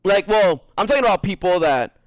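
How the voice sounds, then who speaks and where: An American man streaming a game and chatting casually, animated and swearing freely, with frequent laughs. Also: sits high, brisk, dry recording, poor audio. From United States. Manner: animated, profane, laughs often. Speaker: gaming streamer. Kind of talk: casual chat while gaming.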